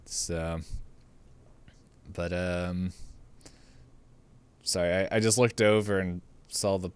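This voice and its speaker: Guy chatting on a podcast, low smooth voice, kinda rambles and hesitates. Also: fairly even intonation, slow. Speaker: podcast co-host. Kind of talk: casual chat.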